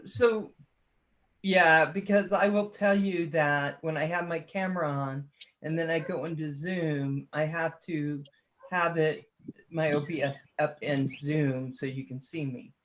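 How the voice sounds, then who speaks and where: A woman chatting casually on a livestream, talkative and quick to tease herself. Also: low-pitched, steady tone, slight background noise. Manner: chatty, self-deprecating and teasing.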